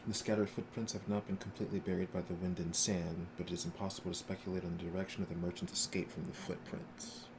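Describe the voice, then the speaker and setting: A man's voice: low-pitched, level tone, fast talker, few pauses. A man streaming a video game, reading its text aloud and chatting casually with viewers. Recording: noisy stream with game ambience behind. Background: game sounds.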